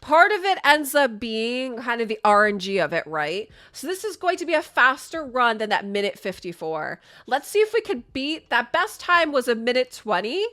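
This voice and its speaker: Lively, bright-voiced young woman gamer. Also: fairly high pitch, normal speed, hardly any pauses. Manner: chatty and upbeat, talks straight to viewers. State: sniffly from a sinus infection. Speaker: gaming content creator. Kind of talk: casual gameplay commentary.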